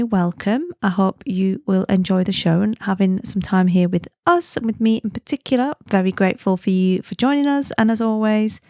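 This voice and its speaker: A woman's voice: medium pitch, darkish tone, no room sound, clean studio sound. A woman from the UK hosting her own podcast, chatting in a friendly, easygoing way and laughing easily. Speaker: podcast host. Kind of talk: casual chatty podcast monologue.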